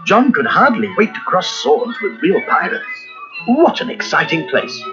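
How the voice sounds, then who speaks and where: A man narrating a scripted children's storybook in a dramatic, expressive way. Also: high voice, normal speed. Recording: muffled cassette with music behind.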